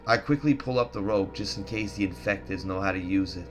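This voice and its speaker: A man's voice: sits low, brisk, soft background music. A man reading a first-person horror story in a tense, dramatic, scripted narration style.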